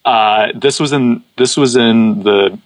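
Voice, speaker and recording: A man's voice: mid-range voice, slow pace, dry recording, rough recording. A man who grew up speaking Cantonese, a podcast guest chatting casually, laughing often and repeating words as he starts sentences. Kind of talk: casual interview chat.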